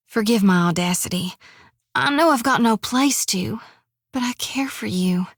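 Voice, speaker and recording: A bashful young priestess. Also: female voice, on the high side, measured pace, no echo, studio quality. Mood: tender. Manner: earnest, bashful, self-deprecating. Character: earnest young woman priestess companion, shy and lovestruck. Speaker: game companion. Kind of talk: video game voice acting. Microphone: dry close studio mic.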